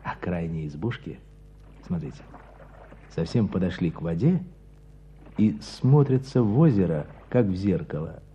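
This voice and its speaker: Poetic writer-hunter, low voice, lyrical pauses, radio play, dull recording with outdoor ambience. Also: male voice, big pitch swings, a little hiss or hum, full character voice. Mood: dreamy, admiring. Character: reflective, poetic male writer out hunting. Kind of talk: radio drama acting.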